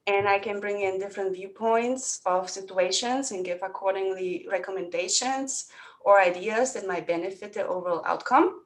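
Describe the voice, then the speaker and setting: This woman grew up in Germany and speaks at a middle pitch. She sounds upbeat and businesslike as she introduces herself as a candidate over a video call. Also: moderate pace, poor audio. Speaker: student candidate. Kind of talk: prepared self-introduction speech.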